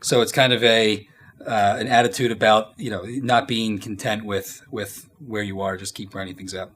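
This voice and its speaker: A man in his thirties giving casual, informal interview answers as a company executive, often halting as he thinks aloud. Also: fairly low pitch, fairly even intonation, fairly bright tone, slight background noise. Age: 30s.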